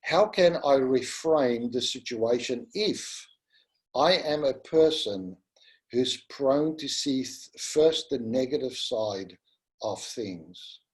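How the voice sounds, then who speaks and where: A middle-aged man with a South African accent, first language Afrikaans, giving a gentle, pastoral webinar talk. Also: medium pitch, animated, slow, rough recording. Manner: gentle and pastoral, addresses listeners as "my friend". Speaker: webinar presenter. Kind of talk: slow, earnest teaching talk.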